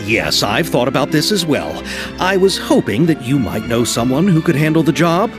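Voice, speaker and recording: Man voicing an eager, animated, deferential film producer. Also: medium pitch, normal speed, music in the background, natural voice. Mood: hopeful. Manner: hesitant, flattering, excitable. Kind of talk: video game voice acting.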